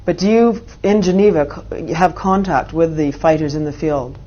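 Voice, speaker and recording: A woman's voice: low voice, normal speed, dark tone. A woman interviewer putting direct, probing questions in a formal news interview. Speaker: interviewer, journalist. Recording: dull archive interview audio.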